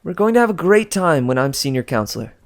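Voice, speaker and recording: A man's voice: fairly high pitch, big pitch swings, fast talker, pitched above the performer's own voice. A man voicing a confident teenage storybook heroine with a bold, breezy, taunting manner. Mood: cheerful. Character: confident, cheerfully defiant teenage girl heroine.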